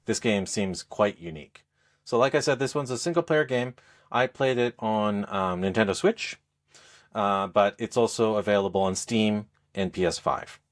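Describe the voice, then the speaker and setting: A man speaking as a podcast co-host, explaining things in a casual, halting, conversational review style. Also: on the low side. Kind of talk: casual game review chat.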